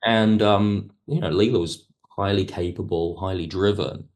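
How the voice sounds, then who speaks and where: A man speaking as an expert guest in an interview, explaining things in a measured, digressive way. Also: sits low, fairly even intonation, a little mellow. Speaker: podcast guest, television history expert. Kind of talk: conversational interview commentary.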